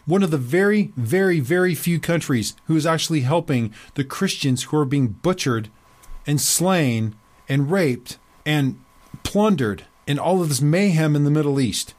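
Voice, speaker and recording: A man's voice: a little high, expressive, darkish tone. Emphatic male commentary host. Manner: emphatic, slows down to stress key words. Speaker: host of his own commentary show. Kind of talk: opinionated commentary reading excerpts aloud.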